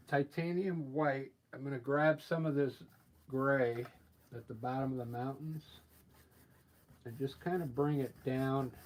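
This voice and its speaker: Guy w/ allergy-scratchy voice talking slow thru his painting, lots of uhs, mid pitch. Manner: relaxed, thinking aloud with many fillers. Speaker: artist painting live. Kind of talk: casual live painting demonstration.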